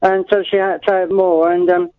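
A man calling a radio show, talking haltingly and anxiously, full of ums and restarts. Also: high voice, very animated, gravelly, no room sound. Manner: halting, hesitant, worried. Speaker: caller. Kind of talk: phone-in call. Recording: tinny phone line.

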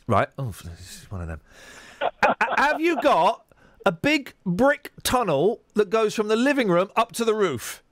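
A male radio host, bunged up, bantering with callers in a mock-indignant, joking manner. His voice is high and swings widely in pitch. The studio sound is dry and close, with faint music underneath. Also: wildly swooping pitch, unhurried, fairly bright tone, dry recording. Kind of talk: playful phone-in banter. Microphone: studio mic.

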